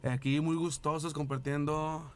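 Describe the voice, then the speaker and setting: A man's voice: a little high, level tone, unhurried, a little hiss or hum. A man hosts a parish program in a friendly, conversational way. He welcomes the listeners and invites them to tune in. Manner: friendly, slightly out of breath. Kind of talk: casual show hosting.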